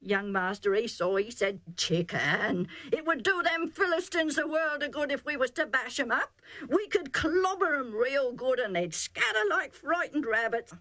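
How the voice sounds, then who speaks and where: A woman voicing a rough, excitable male herdsman who pleads urgently in rustic dialect. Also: sits high, moderate pace, dry recording, voice pushed up, exaggerated voice. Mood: aggrieved pleading.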